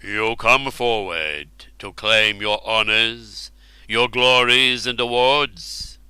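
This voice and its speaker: A man playing a slow, stern mighty king. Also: fairly low pitch, bright, forceful tone, clear, resonant tone, no echo, exaggerated voice, rough recording. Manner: slow, weighty and measured.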